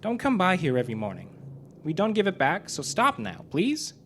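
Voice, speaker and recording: A man's voice: high voice, huge pitch swings, moderate pace, pitched above the performer's own voice, heavily acted. A man voicing an earnest, pleading young country girl with folksy rural phrasing, in a live story reading. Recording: noisy live club recording.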